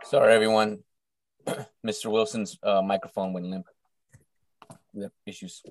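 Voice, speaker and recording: A man's voice: lowish voice, little pitch movement, moderate pace, no room sound. A man co-hosting a podcast, chatting casually and joking at his own expense. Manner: self-mocking, laughs a lot. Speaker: podcast co-host. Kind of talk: casual chat.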